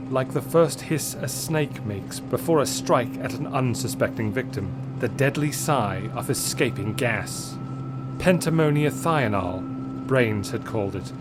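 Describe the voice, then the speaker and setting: A man reading an adventure story as dramatic, scripted narration, measured and suspenseful. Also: medium pitch. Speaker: story reader.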